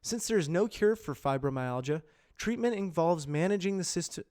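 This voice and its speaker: Higher-pitched man reading scripted narration. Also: expressive, normal speed, a little mellow. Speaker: amateur voice actor practising reads. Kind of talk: scripted narration, educational and informational.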